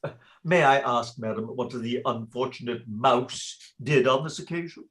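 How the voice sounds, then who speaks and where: An actor playing a genteel, gently pompous lord in a theatrical comedy, courteous and ornate. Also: male voice, mid-range voice, normal speed, close to an everyday voice. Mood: politely puzzled. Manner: courteous, ornate, mock-solemn. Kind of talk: theatrical radio comedy acting.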